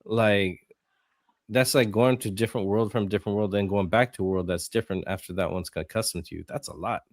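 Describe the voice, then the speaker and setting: A man hosts a casual podcast interview. His voice sits low, and his questions come in a relaxed, easygoing way. The recording is dry and close. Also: fairly quick, dry recording. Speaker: podcast host.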